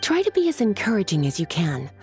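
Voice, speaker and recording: A woman's voice: medium pitch, huge pitch swings, fairly quick, a little mellow, soft background music. An actress playing a languid, flirtatious female mentor who calls others cuties and sweetie. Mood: teasing. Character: sultry, teasing female mentor and librarian.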